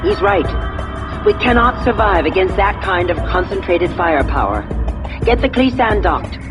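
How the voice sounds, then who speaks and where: An actress playing a calm starship officer who gives tactical advice in a sci-fi video game. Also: female voice, medium pitch, normal speed, music in the background, lightly acted. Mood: calm, serious.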